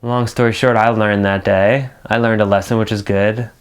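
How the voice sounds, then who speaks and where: A man's voice: fairly low pitch, flat delivery, moderate pace, smooth. An American man, a filmmaker, telling a personal story in a casual, conversational way. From New York. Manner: conversational, re-enacts dialogue. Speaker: filmmaker and narrator.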